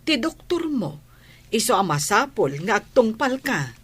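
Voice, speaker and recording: A woman playing a caring nanny in a radio drama, doting and gently admonishing. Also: on the high side, very animated, measured pace, breathy edge, exaggerated voice. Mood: caring, fussing. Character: caring, fussing nanny of a young student. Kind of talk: Ilocano radio drama acting.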